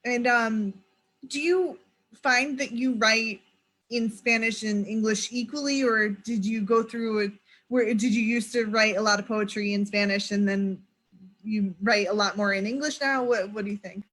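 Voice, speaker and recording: A woman's voice: on the high side, steady tone, moderate pace, fairly bright tone, poor audio. A woman hosting an interview, asking questions in a casual, friendly way, often restarting her sentences. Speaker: podcast host.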